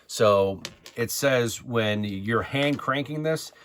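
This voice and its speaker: A man giving a casual unboxing review as a product reviewer, speaking informally and a bit haltingly. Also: medium pitch, fairly bright tone, a little hiss or hum. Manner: informal, hesitant, halting delivery.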